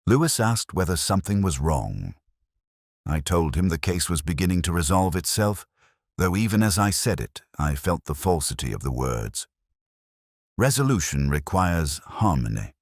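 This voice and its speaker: A man playing a weary, brooding older detective who narrates his case in measured, literary, introspective mystery prose. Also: very deep voice, no room sound, studio quality, exaggerated voice. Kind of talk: scripted first-person mystery narration.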